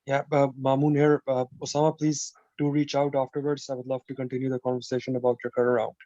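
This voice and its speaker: Investor guy asking questions on a call, mellow flat voice, dry muffled audio. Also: medium pitch, fast talker, no room sound. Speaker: investor panelist. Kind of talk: asking questions on an online panel.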